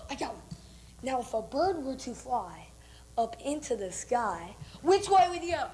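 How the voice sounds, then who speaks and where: A woman's voice: high voice, very animated, unhurried, darkish tone. A young woman in an amateur stage play, acting a pompous, giggly, pondering wizard. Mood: theatrical. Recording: echoey, noisy amateur stage recording.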